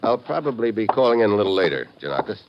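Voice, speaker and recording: An actor playing a tough, wry American special agent in an old radio drama, clipped and laconic. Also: male voice, on the low side, very animated, fast talker. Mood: businesslike. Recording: noisy, dull vintage radio recording.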